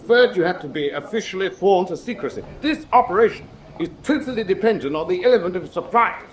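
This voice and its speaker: An actor playing a pompous, boastful British naval commander in a radio drama, with an emphatic, clipped officer manner. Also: male voice, high voice, lively intonation, brisk, a little hiss or hum. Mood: brisk, commanding.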